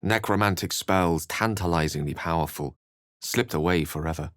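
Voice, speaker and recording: An actor playing a scholarly, self-assured and romantic human wizard in a video game, with an eloquent, theatrical way of speaking. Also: male voice, deep voice, normal speed, no echo, studio quality, natural voice. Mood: grave, narrating. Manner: eloquent, theatrical, ornate phrasing. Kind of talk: video game character lines and narration.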